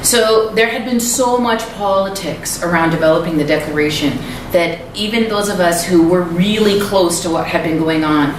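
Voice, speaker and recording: A woman's voice: fairly low pitch, moderate pace, few pauses. A woman giving a public lecture in a conversational way, with frequent ums. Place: lecture hall. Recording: echoey hall, far from mic, noisy.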